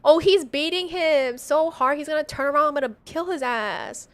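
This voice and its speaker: A woman doing live game commentary as a streamer, slangy and animated, reading on-screen text aloud. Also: sits high, moderate pace. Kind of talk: live playthrough commentary with dialogue read aloud.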